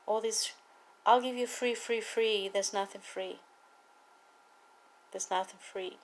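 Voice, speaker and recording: A woman from Cuba with a Cuban accent, a native Spanish speaker, giving an earnest, emphatic personal testimony and political appeal with deliberate repetition. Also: medium pitch, fairly even intonation. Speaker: political activist speaking to viewers.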